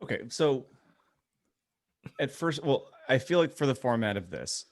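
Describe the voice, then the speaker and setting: A man's voice: mid-range voice, big pitch swings, a little slow. A man co-hosting a podcast, chatting casually with dry, irreverent, self-mocking humor. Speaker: podcast co-host. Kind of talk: casual joking chat.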